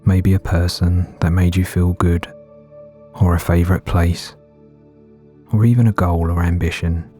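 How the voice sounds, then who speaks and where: A man gently guiding a meditation in a soothing, unhurried way with long pauses between phrases. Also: sits low, little pitch movement. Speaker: meditation guide. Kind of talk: guided meditation narration. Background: background music.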